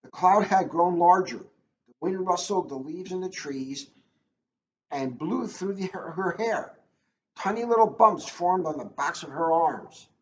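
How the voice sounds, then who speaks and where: A man's voice: sits high, unhurried. A man reading a children's book aloud as a police officer, chatting and joking at his own expense in casual asides. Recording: echoey room, dull lo-fi, faint noise.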